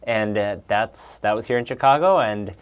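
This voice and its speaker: A man's voice: lowish voice, moderate pace, smooth. Upbeat man sharing his work story. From Peru and Bolivia. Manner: upbeat, enthusiastic, with frequent restarts. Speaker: guest, executive coach. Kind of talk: casual interview.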